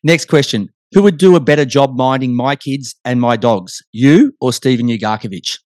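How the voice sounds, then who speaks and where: A man's voice: mid-range voice, moderate pace, a little mellow, no echo. A man hosting a casual sports chat, putting questions to his guest as interviewer. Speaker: interview host.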